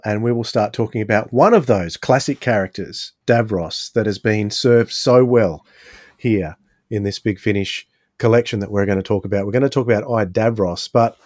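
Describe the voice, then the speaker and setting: A man hosting a conversational discussion, putting questions to the panel in measured short phrases. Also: medium pitch, a little mellow, no echo, studio quality. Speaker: podcast host.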